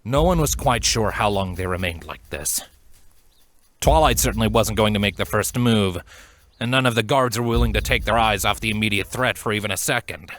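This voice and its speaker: A man's voice: on the low side, brisk, fairly bright tone. A man reading a fantasy story aloud as a dramatic scripted narration. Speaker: fan fiction narrator.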